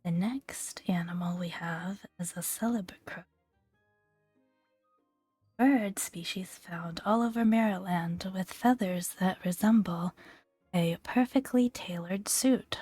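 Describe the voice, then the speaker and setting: A woman hosting a soft-spoken ASMR gaming video, talking gently and fondly in a hushed voice that often slips toward a whisper. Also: lowish voice, slightly rough, dry recording. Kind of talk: soft-spoken ASMR, relaxed commentary and read-aloud entries.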